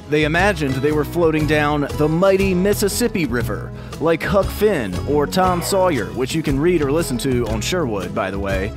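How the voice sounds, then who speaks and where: An American man with a fairly high voice narrates a story for children. He explains things conversationally, as if to young listeners, and music plays clearly behind him. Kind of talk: scripted storytelling narration for kids.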